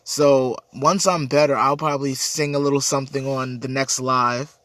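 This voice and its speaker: A man's voice: mid-range voice, fairly even intonation, normal speed, a bit bright, no room sound. A man with a cold and a stuffy, congested voice, hosting a casual livestream chat and answering viewer comments in an earnest, rambling way. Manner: earnest, rambling, repeats words. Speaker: livestream host.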